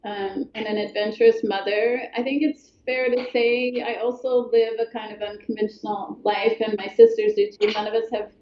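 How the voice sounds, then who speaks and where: A woman's voice: medium pitch, steady tone, moderate pace, few pauses, highs cut off. Hesitant, thoughtful woman on an echoey line. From Colorado, USA. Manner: thoughtful, hesitant, many ums and restarts. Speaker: author guest. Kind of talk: reflective interview talk.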